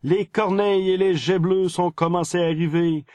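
A man's voice: high voice, fairly even intonation, normal speed, a little mellow, no echo. A man with a Quebec French accent presenting a scripted news segment on a podcast.

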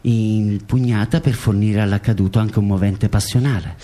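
A man playing a formal, measured narrator who reads out a case in a scripted documentary radio drama. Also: fairly low pitch, steady tone, mellow, a little hiss or hum, lightly acted. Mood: grave, factual. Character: formal male court reader and case narrator.

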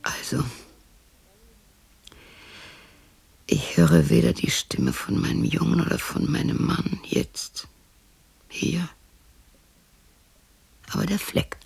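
A woman's voice: fairly low pitch, creaky, husky, exaggerated voice. An actress playing a lonely widow in her sixties who mutters to herself in a radio drama. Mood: musing. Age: 60s. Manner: muttering to herself, shifting between musing and scolding. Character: lonely sixty-year-old widow talking to herself and imagined family.

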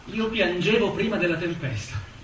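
An actor playing a wistful, impassioned lover who declaims lyrical poetry in an incantatory theatrical manner. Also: male voice, high-pitched, normal speed, a bit bright. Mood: lyrical, yearning. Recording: roomy, distant stage recording with faint noise.

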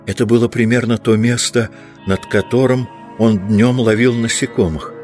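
A man's voice: medium pitch, steady tone, moderate pace, over music. A man reading scripted literary narration as the narrator of an audiobook.

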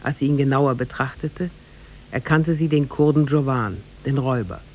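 A man narrating a tale in a scripted, measured and formal 1950s radio drama style. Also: medium pitch, fairly even intonation, darkish tone. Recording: noisy 1950s radio recording.

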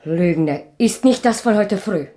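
A woman's voice: medium pitch, expressive, unhurried, dark tone, echoey room, lightly acted. An actress playing an indignant, suspicious circus woman who questions and accuses, in radio drama style. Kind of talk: 1980s German radio drama acting.

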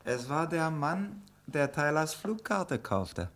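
An actor playing a brisk, commanding police inspector in a German radio crime play, curt and sardonic. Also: male voice, on the high side, big pitch swings, fairly bright tone, slight background noise, heavily acted. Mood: surprised, resigned.

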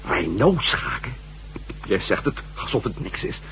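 An uneasy adventurer in a radio drama, his pitch swinging widely as he muses and hesitates. He repeats himself as he thinks aloud. The old recording carries some background noise. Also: very animated, a little slow, gravelly, fairly bright tone, full character voice, low-quality recording. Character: Matt, uneasy adventuring former astronaut and reporter. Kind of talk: Dutch radio drama acting.

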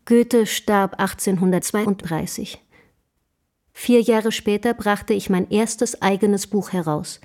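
A woman's voice: mid-range voice, slow, a little mellow. An ardent, haughty Romantic woman writer. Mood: wistful, proud narrating. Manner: effusive, self-dramatizing, formal old-fashioned diction. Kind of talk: German radio drama acting.

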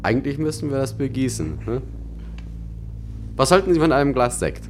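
An actor playing an affable, deferential film colleague in a German radio play, polite and gently coaxing. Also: male voice, mid-range voice, big pitch swings, normal speed, natural voice. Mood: eager, friendly. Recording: faint background hum and noise.

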